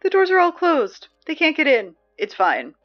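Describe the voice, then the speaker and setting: A woman plays a chatty young announcer whose patter keeps tipping into fluster. Her voice sits fairly high. The recording is slightly dull, with faint music under it. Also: huge pitch swings, moderate pace. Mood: anxious. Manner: chatty, growing flustered and panicky. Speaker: school announcer. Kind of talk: audio drama acting.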